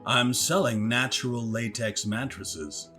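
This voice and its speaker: A man's voice: medium pitch, a little slow, bright, forceful tone, faint music behind. A man playing a touchy, defensive former podcast critic, interviewed as an expert in a scripted mock documentary.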